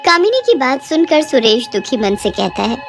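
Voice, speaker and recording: A woman's voice: sits high, very animated, normal speed, faint music behind. A woman narrating a scripted cartoon horror bedtime story.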